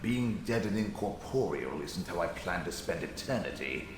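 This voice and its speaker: An actor playing a haughty, sardonic dead wizard's spirit in a video game, speaking in an imperious, theatrical way. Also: male voice, low-pitched, expressive, moderate pace. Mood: smug. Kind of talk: scripted video game acting. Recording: echoing cavernous reverb, faint noise.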